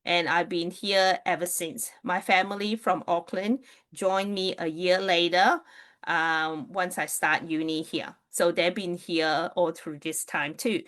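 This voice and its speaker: A woman's voice: lowish voice, fairly even intonation, unhurried, fairly bright tone. A woman from New Zealand chatting casually and digressively on a live stream, greeting her viewers as she goes.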